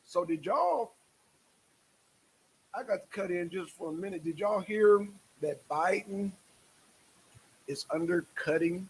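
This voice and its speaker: A man's voice: high voice, takes it slowly, long pauses, breathy edge, rough recording. A man hosting a casual live stream, speaking in a repetitive, emphatic and exasperated way.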